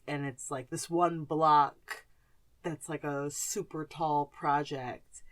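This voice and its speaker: A woman podcast host chatting casually and informally about films and actors, with some slang. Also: mid-range voice.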